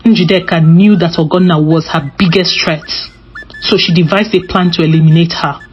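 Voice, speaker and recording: Female storyteller, slightly low voice, scripted folktale narration, a bit dull in sound over music. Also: steady tone, normal speed.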